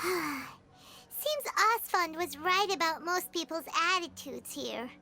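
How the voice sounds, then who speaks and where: A woman voicing a tiny, excitable, childlike fairy companion in a video game, with a squeaky and exclamatory delivery. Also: slow, heavily acted. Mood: frustrated. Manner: exclamatory, speaks of self in third person. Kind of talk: video game character acting. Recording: loud background music.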